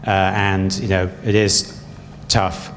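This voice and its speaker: A man's voice: sits low, little pitch movement, slow pace. A man answering as a parliamentary candidate at a hustings, hesitant with many fillers. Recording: echoey hall with faint hum.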